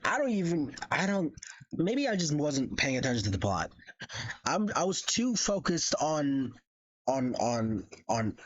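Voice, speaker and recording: A man's voice: a little high, sing-song, unhurried, lo-fi sound. A man in his early twenties giving casual, sweary livestream reactions in slangy, amused speech. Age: early 20s. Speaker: streamer.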